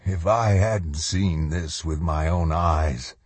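A man's voice: sits low, breathy edge, dry recording, exaggerated voice. A man voicing an emotional, folksy old country man full of wonder in an audiobook story. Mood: choked with awe. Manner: folksy, exclamatory, drawn-out.

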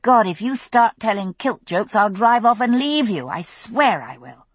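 A woman voicing a quick, sharp-tongued young woman with a wry, brisk manner. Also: a little high, expressive, breathy edge, no echo, pitched above the performer's own voice.

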